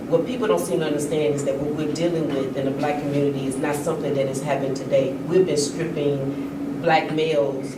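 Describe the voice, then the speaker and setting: A woman's voice: sits low, steady tone, brisk. A woman speaking as an author guest on a discussion panel, earnest and emphatic. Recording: echoey room, distant mic, noisy.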